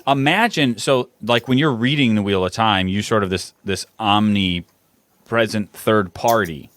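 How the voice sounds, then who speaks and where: A man's voice: lowish voice, a little slow, a bit bright. A man co-hosting a casual podcast, thinking aloud and rambling about running his tabletop game. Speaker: podcast co-host and game master. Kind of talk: casual chat.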